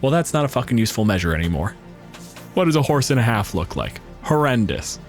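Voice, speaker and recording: A man's voice: mid-range voice, sing-song, fast, faint music behind. A man improvising narration as game master, casual and wry, swearing freely.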